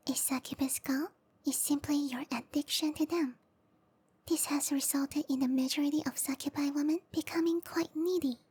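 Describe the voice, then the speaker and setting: High, whispering seductive matchmaker played by a woman. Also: steady tone, normal speed, warm tone, natural voice. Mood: sultry, informative. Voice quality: breathy whisper. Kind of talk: whispered ASMR roleplay.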